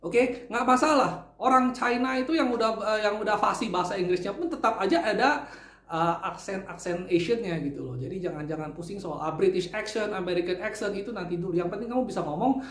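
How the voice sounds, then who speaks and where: A man from Indonesia casually giving tips as the channel host, animated and chatty, dropping English phrases into his talk. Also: sits high. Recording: roomy echo.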